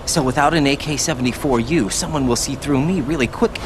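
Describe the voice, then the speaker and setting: A man's voice: medium pitch, a little fast, noisy background, lightly acted. An actor playing an earnest, uneasy young soldier in a video game, questioning what he is told. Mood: businesslike. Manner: questioning, incredulous. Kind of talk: video game cutscene voice acting.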